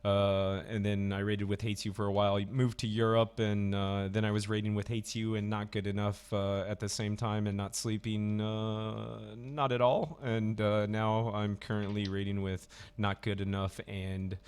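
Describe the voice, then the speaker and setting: A man with a fairly low voice hosts a loose voice-chat stream. He rambles, stops and starts, and fills the gaps with ums and uhs as he talks into a headset. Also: flat delivery, normal speed, few pauses, darkish tone, smooth. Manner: rambling, many fillers and restarts. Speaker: stream host. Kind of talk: casual voice chat.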